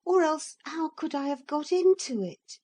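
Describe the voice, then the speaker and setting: A woman's voice: high voice, animated, unhurried, warm tone, pitched above the performer's own voice. A woman reading a storybook, voicing an anxious young boy who pleads and asks questions eagerly. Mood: pleading.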